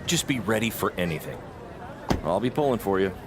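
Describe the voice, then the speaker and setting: An actor playing a cautious, doubtful wrestler friend in a video game cutscene, speaking earnestly and with hesitation. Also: male voice, fairly low pitch, sing-song, fairly quick, noisy background. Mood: wary.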